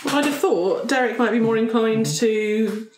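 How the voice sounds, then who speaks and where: A woman's voice: fairly high pitch, measured pace, a little mellow. A woman chatting casually as a player in an improvised roleplay game. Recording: roomy echo with clear noise.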